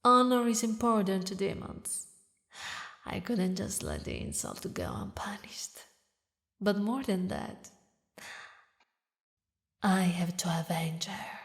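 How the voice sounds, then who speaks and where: Woman voicing a slow-spoken, emotional demon queen. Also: mid-range voice, animated. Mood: cold, vengeful. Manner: dramatic, halting, breathy whispers, emotional. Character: grieving vengeful demon queen, tender toward lost female lover. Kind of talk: scripted romantic audio roleplay.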